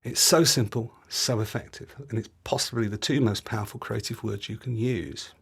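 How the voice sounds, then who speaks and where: A middle-aged British man narrating a scripted podcast as host, instructive, speaking in short deliberate phrases. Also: on the low side, fairly even intonation, creaky, fairly bright tone, slightly rough.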